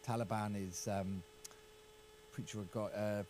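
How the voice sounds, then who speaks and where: Halting low-voiced English man. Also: fairly even intonation, darkish tone, a little hiss or hum. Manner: halting, repeats words, hedging. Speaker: county councillor. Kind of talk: casual political discussion.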